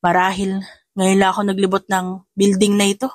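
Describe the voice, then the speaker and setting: A woman voicing a calm, pensive older male CEO in an audio drama, gentle and courteous. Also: medium pitch, a little fast, no room sound, close to an everyday voice.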